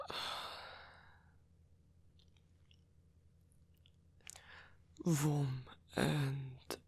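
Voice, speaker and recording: A man playing a lonely, courteous old vampire in an intimate ASMR roleplay, speaking in a breathy, hesitant, softly formal way. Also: fairly high pitch, dry recording, exaggerated voice. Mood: shy, apologetic. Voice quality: breathy, husky, gravelly whisper.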